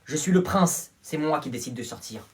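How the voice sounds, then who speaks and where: A performer voicing a spoiled rich boy who calls himself a prince, boastful and muttering in a lowered voice. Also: lively intonation, moderate pace, natural voice. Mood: scheming. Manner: smug, boastful, low and muttered.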